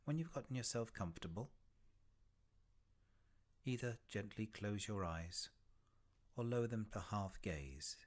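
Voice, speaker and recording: A man leading a guided body scan meditation in a gentle, unhurried way, with long pauses between short phrases and soft, breathy phrase endings. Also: on the low side, lively intonation.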